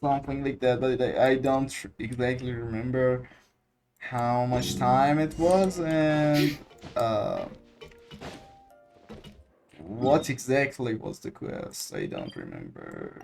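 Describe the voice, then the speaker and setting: A man giving casual gameplay commentary in a hesitant, halting, rambling way. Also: medium pitch, expressive, vocal fry, a little mellow, soft background music.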